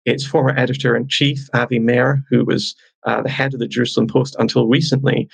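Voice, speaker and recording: Brief: gravelly male guest, husky and sardonic, dry mic. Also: medium pitch, flat delivery, brisk, no room sound, low-quality recording. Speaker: guest journalist. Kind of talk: measured interview answers.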